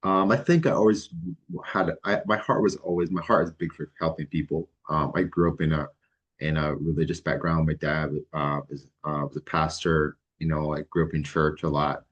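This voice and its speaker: Middle-aged man, extremely low voice, relaxed and hesitant, lots of ums. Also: muffled recording. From Barbados. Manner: halting, many ums and restarts, relaxed. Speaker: guest, licensed mental health counselor. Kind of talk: casual interview answers.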